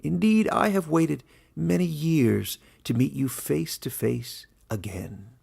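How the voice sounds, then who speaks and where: A man plays an elderly fantasy warden with a very creaky, husky voice. He is kindly and quietly playful, yet speaks with ceremonious, mysterious authority, pitched up and measured like a storybook elder. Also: full character voice. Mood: solemn, welcoming.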